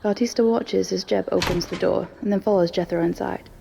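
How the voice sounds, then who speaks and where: Gravelly, mellow woman narrator. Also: medium pitch, fairly even intonation, brisk, slight background noise. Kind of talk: scripted story narration in present tense.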